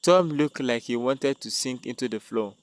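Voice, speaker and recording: A man's voice: mid-range voice, moderate pace, smooth, dry recording. A man narrating a dramatic scripted tale as a storyteller.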